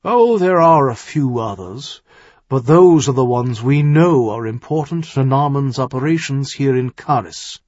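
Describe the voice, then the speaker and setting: A man's voice: medium pitch, animated, a little slow, darkish tone, no echo, lo-fi sound. A man voicing an earnest, exasperated older earl and worried father-in-law in an audiobook, urgent and insistent. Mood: grave, urgent. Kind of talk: audiobook character dialogue.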